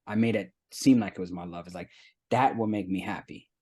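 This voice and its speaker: Earnest low-voiced man in his thirties. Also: warm tone, slightly rough. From United States. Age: 30s. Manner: earnest, reflective, halting with fillers. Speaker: guest. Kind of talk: candid personal testimony.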